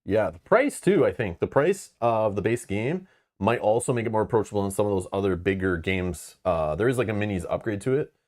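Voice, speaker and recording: A man's voice: on the low side, darkish tone. A man hosting a live board game stream, talking in a casual, rambling way with lots of fillers and frank asides. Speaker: board game channel host.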